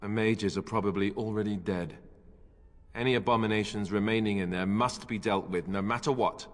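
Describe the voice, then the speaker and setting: A blunt warrior played by a man speaks in a slightly low voice, dry and matter-of-fact. It is fantasy game dialogue with a long reverberant tail. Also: fairly even intonation, normal speed, close to an everyday voice. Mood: grim.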